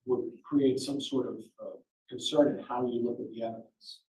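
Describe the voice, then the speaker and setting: A man's voice: mid-range voice, steady tone, normal speed. Man questioning jurors in an echoey courtroom. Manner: loud projecting voice, rambling with fillers. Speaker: attorney questioning prospective jurors. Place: large courtroom, indoors. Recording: echoey courtroom audio from far off.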